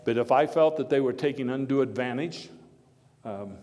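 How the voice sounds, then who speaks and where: A man playing a hearty, opinionated former American president, telling his stories to an audience in a halting, wry and emphatic way. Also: medium pitch, a little mellow, lightly acted. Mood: wry, measured. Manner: halting, storytelling, wry chuckles, emphatic bursts. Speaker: living-history performer giving a talk and taking audience questions. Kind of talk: first-person historical dramatization before an audience. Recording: echoey hall with long reverb.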